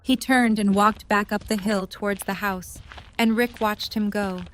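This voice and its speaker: A woman's voice: mid-range voice, steady tone, measured pace, fairly bright tone, poor audio. A woman reading scripted story narration for an audio drama.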